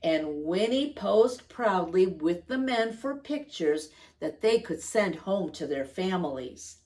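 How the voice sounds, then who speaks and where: A woman storyteller reading a picture book expressively to young children, stretching words for emphasis and pausing to ask them questions. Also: fairly low pitch, very animated, darkish tone.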